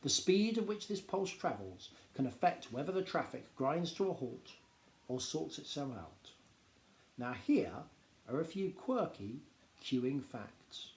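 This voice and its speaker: A man reading a popular non-fiction book aloud like a teacher, explaining in a measured, expressive way. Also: a little high. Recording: echoey, roomy sound.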